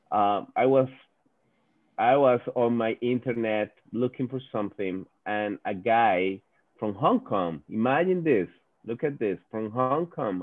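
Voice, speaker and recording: A man's voice: on the low side, dry recording. A man from Venezuela, a native Spanish speaker talking in accented English on a video call, earnest and often repeating words as he searches for them. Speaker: guest speaker, company executive. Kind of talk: informal talk on a video call. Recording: dry, phone-like video call audio.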